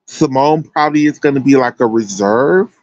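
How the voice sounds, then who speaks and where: A man's voice: medium pitch, normal speed, a little mellow, no echo, highs cut off. An American man giving casual, chatty sports commentary as a livestream host, emphatic and slangy.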